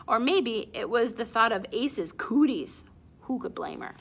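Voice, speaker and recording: A woman reading a children's chapter book aloud in an expressive storytelling style. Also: fairly high pitch, unhurried, gravelly. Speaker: storybook read-aloud reader.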